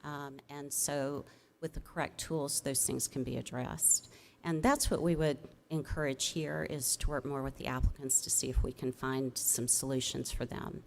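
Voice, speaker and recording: Low female voice, measured explanatory delivery, public meeting staff report, slightly hesitant. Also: steady tone, gravelly. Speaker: city staff member presenting to commission.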